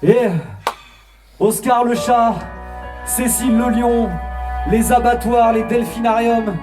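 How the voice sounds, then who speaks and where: A man's voice: very high-pitched, steady tone, slow pace. A man rapping earnestly on stage at a live concert, addressing the crowd directly. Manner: rhythmic, forceful, earnest rap delivery. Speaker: rapper performing live. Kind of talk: rap performance with crowd talk. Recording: distant live phone capture with loud music. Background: hip hop beat.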